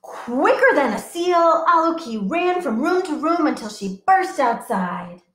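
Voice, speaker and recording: A woman runs a children's storytime, reading aloud in a bright, animated sing-song that keeps inviting little listeners to join in. Her voice sits a touch high for a woman, and the sound rings in a roomy, echoey space. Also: unhurried. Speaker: children's librarian.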